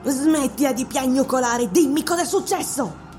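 A woman voicing a harsh, impatient witch queen who shouts sharp commands. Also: on the high side, big pitch swings, extremely slow, faint music behind, heavily acted. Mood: commanding.

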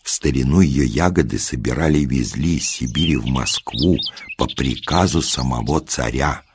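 A man narrating a scripted children's tale in an expressive, deliberate storyteller's delivery. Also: extremely low pitch, noisy background. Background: music.

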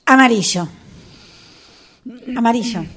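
A woman's voice: on the high side, animated, slow, fairly bright tone, slightly rough, full character voice. A woman playing an insistent figure who speaks in terse single words in a radio drama exercise.

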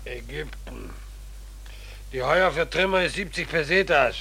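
A man playing a gruff, impatient captain of a shady ship, curt and officious, in a 1940s radio play. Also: fairly high pitch, expressive, a little slow, sharp tone, slight background noise, heavily acted.

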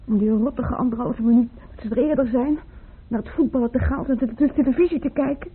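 An actress playing a wistful, fragile young woman in grief, reminiscing haltingly in a Dutch radio play. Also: a little high, breathy edge. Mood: tearful, bitter. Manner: halting, reminiscing, repeats herself. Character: grieving young woman, Maria, wistful, fragile, tearful, in love. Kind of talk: Dutch radio play acting, intimate monologue. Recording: muffled, phone-like old radio recording.

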